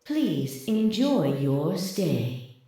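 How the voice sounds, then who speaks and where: An actress playing a calm, polite computer announcer in a game, measured, formal and impersonal. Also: female voice, lowish voice, full character voice. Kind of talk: automated facility announcement in a game. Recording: echoing announcement with faint music behind.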